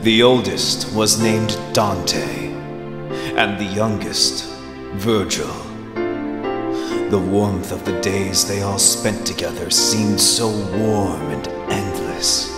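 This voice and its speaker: A man playing a solemn, grandiose epic narrator in an animated fantasy parody. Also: on the low side, takes it slowly. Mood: solemn, grave. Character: put-upon agent moonlighting as deep, solemn epic narrator. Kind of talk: scripted dramatic narration in an anime parody. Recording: roomy long reverb, loud music behind. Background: loud music.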